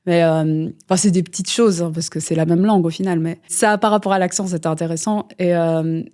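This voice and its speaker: Mellow low woman, rambling. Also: fairly even intonation, brisk. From Belgium. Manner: rambling, many fillers and restarts. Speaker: guest musician. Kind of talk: casual interview talk.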